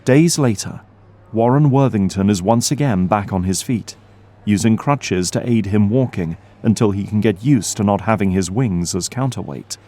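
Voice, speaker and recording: Low, mellow male narrator. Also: normal speed. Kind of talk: scripted audio drama narration.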